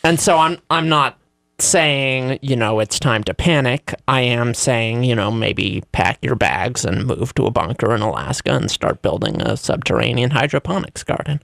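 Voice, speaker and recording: A man co-hosting a casual talk show, with dry, self-deprecating humor and halting, repetitive phrasing. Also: medium pitch, expressive, vocal fry, fairly bright tone, no echo. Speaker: radio show co-host. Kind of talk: casual banter and commentary.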